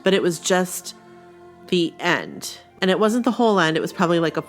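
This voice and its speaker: Maine lady cohost, kinda low nasal voice, animated, music faint under it. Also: fairly quick. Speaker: podcast co-host. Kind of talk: casual chat.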